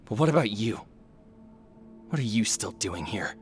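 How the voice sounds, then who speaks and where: Resolute game forge boss, male actor: slightly low voice, formal, earnest and accusing, faint music underneath. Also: lively intonation, natural voice. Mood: angry, confrontational. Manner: formal, measured, gravely sincere. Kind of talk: video game voice acting.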